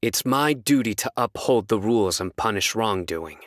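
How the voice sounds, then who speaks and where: An actor playing a solemn, stern young enforcer of justice in a video game, speaking in a measured, formal, deadpan way. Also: male voice, lowish voice, no echo, studio quality. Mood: solemn, resolute. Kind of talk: scripted video game character voice lines.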